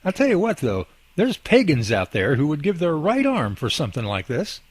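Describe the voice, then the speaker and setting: A man acting out a goofy young husband in a radio drama. His voice is lightly raised and a bit rough, swinging widely in pitch. He teases and explains with jokey, earnest exaggeration, very dryly recorded. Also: huge pitch swings, normal speed, no room sound, exaggerated voice. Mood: earnest, persuasive.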